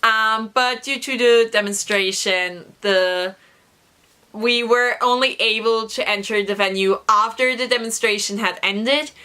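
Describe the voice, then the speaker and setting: A young woman vlogger with a hoarse voice from a recent cough, chatting through an excited story with many fillers. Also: fairly high pitch, a little slow. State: hoarse, recovering from lost voice. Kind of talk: casual storytelling vlog.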